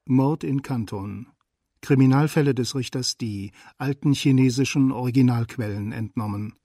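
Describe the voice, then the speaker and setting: Dark, dry male radio announcer. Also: mid-range voice, moderate pace, dry recording. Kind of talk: scripted radio drama title announcement. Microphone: close studio microphone, dry.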